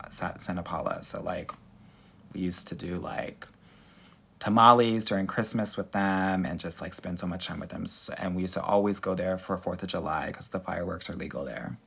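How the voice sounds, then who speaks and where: A young man with a slightly low voice runs a live dating Q&A, reading viewer comments into his phone. He talks with sass and energy and calls his listeners girl and honey. He comes from Southern California. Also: creaky, a bit bright. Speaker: dating coach.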